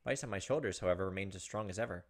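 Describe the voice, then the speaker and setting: A man's voice: deep voice, rapid-fire. A man in his thirties hosting a let's play, reading game narration aloud with casual asides.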